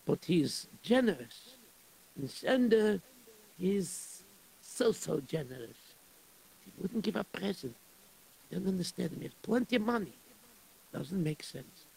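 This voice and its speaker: A gossipy, pious synagogue caretaker, played by a man, who sighs and fusses. His voice is raised yet dark, with a gravelly, husky grain. He keeps sighing over his neighbors' business in a radio-play manner. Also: high-pitched, animated, a little slow, long pauses, exaggerated voice, rough recording. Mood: gossiping.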